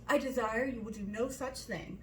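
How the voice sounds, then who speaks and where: A woman's voice: fairly high pitch, normal speed, echoey room, a little hiss or hum, natural voice. A woman voicing a nervous, fretful middle-aged mother who complains and exclaims insistently in a storybook reading. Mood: vexed.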